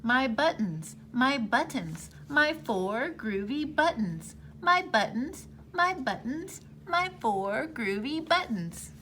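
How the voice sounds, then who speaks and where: A woman's voice: high-pitched, big pitch swings, slow, hardly any pauses, pushed and bright, slight background noise, exaggerated voice. A woman singing the part of a cheerful, cool male cat from a children's storybook in a sing-song chant.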